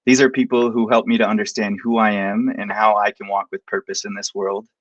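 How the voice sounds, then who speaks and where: Male professor, lowish voice, steady heartfelt read, dry muffled call sound. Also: no room sound. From Montana, United States. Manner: measured, heartfelt, reading from a script. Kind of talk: prepared introduction read aloud. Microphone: video call.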